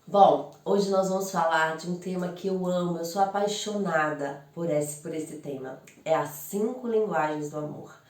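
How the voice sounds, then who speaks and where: A woman's voice: on the low side, normal speed, hardly any pauses, a little mellow, soft background music. Brazilian lady couples therapist chatting to camera, friendly, echoey room. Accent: Brazilian Portuguese. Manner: friendly, chatty, uses rhetorical questions. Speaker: couples psychologist. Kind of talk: informal explanatory talk to camera. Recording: roomy echo, voice from far off.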